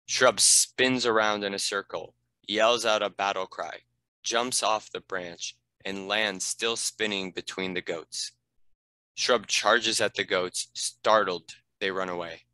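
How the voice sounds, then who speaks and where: Male narrator for script directions, deep and crisp-edged, scripted delivery. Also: steady tone, slow pace, sharp tone, no room sound, poor audio. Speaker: narrator reading stage directions.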